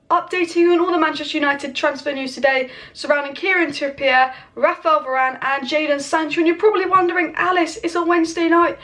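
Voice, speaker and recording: A young woman with a high voice gone hoarse rattles through football news at a quick clip. She sounds chatty and opinionated, talking straight to her viewers. She is recorded at home on a camera's built-in mic. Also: a bit bright. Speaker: football channel host. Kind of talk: casual transfer news update. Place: home with kitchen clatter nearby.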